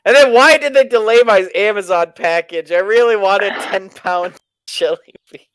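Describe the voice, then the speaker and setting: A man's voice: high-pitched, moderate pace, a bit bright, no room sound, low-quality recording. A young man in casual, joking chat, swearing freely and telling stories in a crude way.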